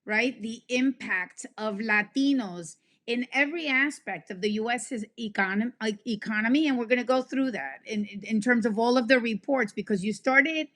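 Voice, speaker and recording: Gushing, higher-voiced woman podcast host. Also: moderate pace, few pauses, a bit bright. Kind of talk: conversational interview hosting.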